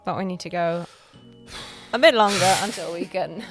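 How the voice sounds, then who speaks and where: Woman, slightly low voice, podcast co-host, casual chat, music in the background. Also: normal speed. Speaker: podcast co-host and executive producer. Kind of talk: casual chat about a production.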